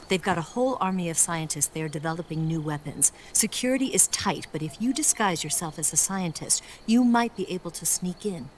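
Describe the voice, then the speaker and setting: A woman's voice: fairly low pitch, expressive, fairly quick, few pauses, slight background noise, natural voice. A woman playing a cool, teasing spy in a video game cutscene, poised and coy in her delivery. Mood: businesslike. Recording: night insect ambience behind the voice.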